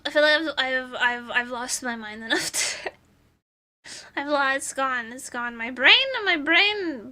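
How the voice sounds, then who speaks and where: A woman chats casually to livestream viewers in a high, piercingly bright voice that is strained from screaming. She takes her time, looping back over phrases with a playful, theatrical air. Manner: rambling, repeats phrases. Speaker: VTuber livestream host.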